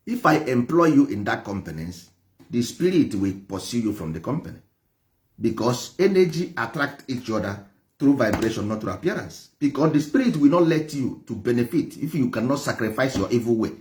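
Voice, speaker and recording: A man with an Igbo Nigerian accent and Igbo as his first language, preaching and lecturing with passion, stressing points through repetition and rhetorical questions. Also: mid-range voice. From Nigeria, Igboland. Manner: emphatic, repeats phrases, rhetorical questions. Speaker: traditional religion teacher.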